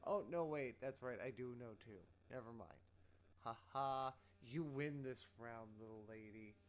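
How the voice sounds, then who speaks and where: A man's voice: mid-range voice, a little slow, a little hiss or hum. A man hosting a casual live-stream movie night, bantering and teasing his guest.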